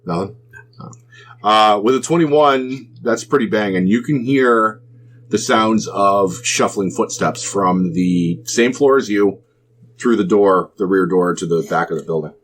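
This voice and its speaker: A man narrating a tabletop role-playing game as game master, explaining scenes in a halting, explanatory way. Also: lowish voice.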